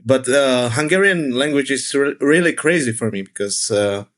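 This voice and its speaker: A man's voice: mid-range voice, expressive, normal speed, a bit bright. Man, hesitant speech. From Czech Republic. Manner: hesitant, many fillers and restarts. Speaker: film director, podcast guest. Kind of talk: casual panel conversation.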